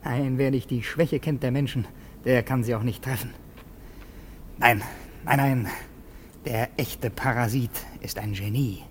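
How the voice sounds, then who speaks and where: An actor playing a scheming, flattering parasite servant in a theatrical, wheedling radio play style. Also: male voice, mid-range voice, a little slow, long pauses, natural voice. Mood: gloating. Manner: theatrical, wheedling, conspiratorial asides. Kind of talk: classic German radio play acting. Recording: noisy old radio play recording.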